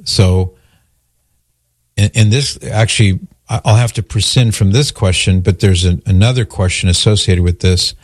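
A man hosting a religious call-in radio show, explaining things in a measured, careful, conversational way. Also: fairly low pitch, steady tone, no room sound, clean studio sound.

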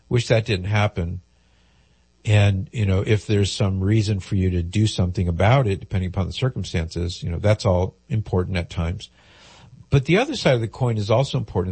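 Man, radio call-in host, slightly nasal from a cold, husky, low-pitched, dry studio sound. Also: darkish tone, no room sound. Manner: conversational, explains at length with fillers. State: head cold, a little nasal.

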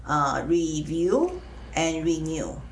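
A middle-aged woman from Taiwan, speaking as a guest in an interview, with frequent uh and um pauses. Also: deep voice, animated, slight background noise. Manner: hesitant, many uh and um pauses. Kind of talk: faith-sharing interview.

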